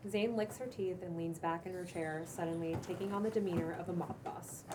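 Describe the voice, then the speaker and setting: Lower-pitched woman reading screenplay directions. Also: fairly even intonation, brisk. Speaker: stage directions reader. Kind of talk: cold read of screenplay directions. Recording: distant microphone with clear room noise.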